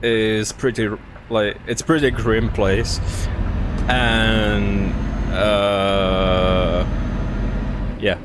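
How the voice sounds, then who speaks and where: A man's voice: lowish voice, very slow. A man from Czechia streaming casual chat while driving, laid-back and given to chuckling and trailing off. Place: inside a car on the road. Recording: steady road and car noise. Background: car and road noise.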